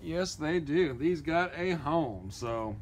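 A man's voice: on the high side, expressive, slow. A middle-aged man, bantering in an easygoing, joking way as a vlog co-host outdoors. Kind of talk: casual chat. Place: outdoors at storage units and a yard. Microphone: handheld phone camera. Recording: noisy outdoor phone recording.

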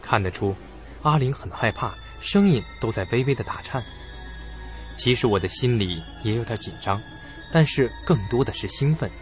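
A man's voice: medium pitch, expressive, moderate pace, soft background music. A man narrating a suspense radio drama in scripted storytelling style.

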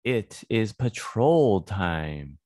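A man in his thirties giving a read-aloud English phonics lesson for children, deliberate and repetitive, close to a desk microphone in a small room. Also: fairly low pitch, very animated, a little mellow, no echo. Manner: deliberate, repeats words and sentences. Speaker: English reading teacher for children. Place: small indoor home studio. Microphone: close desk microphone on boom arm.

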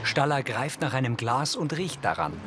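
A man's voice: medium pitch, sing-song, moderate pace, loud music behind the voice. A man reading scripted audio description in a neutral, factual way, narrating in the present tense.

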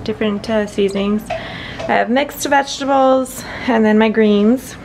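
Young female fitness vlogger, slightly high voice, casual chat, clear household noise behind her. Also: a little slow, a little mellow, poor audio. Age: young adult. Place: home kitchen and room indoors. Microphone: handheld camera at arm's length.

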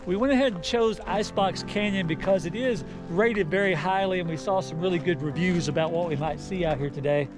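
An upbeat, encouraging man in his fifties, his voice fairly high for a man, narrating a hike outdoors into a handheld camera. Clear music plays underneath him. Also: a little fast, few pauses. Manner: upbeat, encouraging while hiking. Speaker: travel vlog host. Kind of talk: casual hiking narration. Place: outdoors on a desert canyon trail. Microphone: handheld camera at arm's length.

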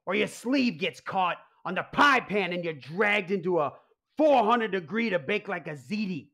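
A man's voice: high-pitched, lively intonation, moderate pace, sharp tone, full character voice. A man playing an anxious, exasperated mob boss and father in a comedic radio play. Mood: dramatic. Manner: exasperated, blustering. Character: harried, anxious mob boss father who secretly runs pizzeria.

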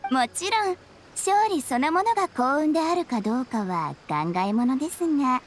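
An actress playing a polite, eerie contest host in an anime game, speaking formally and teasingly, like someone telling ghost stories. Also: female voice, sits high, big pitch swings, moderate pace, soft background music. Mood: mysterious. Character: polite, eerie female contest host who relishes scaring people.

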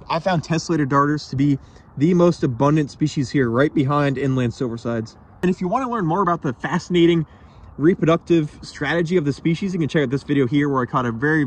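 Chatty young man fishing at night. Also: fairly high pitch. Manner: enthusiastic, chatty, slangy. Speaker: micro-fishing vlogger. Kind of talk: casual outdoor vlog narration. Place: tidal marsh at night, outdoors.

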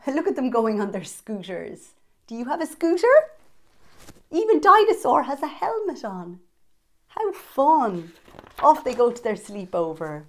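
Animated, higher-voiced woman reading to children. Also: a little slow. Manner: expressive, dramatic emphasis, addressing young children. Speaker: children's storytime host. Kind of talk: animated read-aloud of a picture book.

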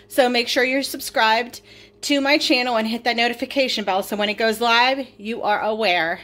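A woman's voice: a little high, fairly bright tone, a little hiss or hum. Chatty middle-aged woman showing groceries. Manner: chatty, upbeat, with many ums. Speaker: vlogger showing a grocery haul. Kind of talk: casual chat over a grocery haul. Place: home kitchen, off camera.

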